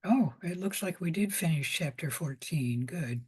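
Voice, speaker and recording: A woman with a hoarse voice giving an informal biology lecture, explaining step by step with pauses and repetition. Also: low voice, lively intonation.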